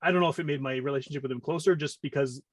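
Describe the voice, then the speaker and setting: Quick-talking Canadian man in casual conversation. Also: fairly high pitch. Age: around 40. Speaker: podcast guest.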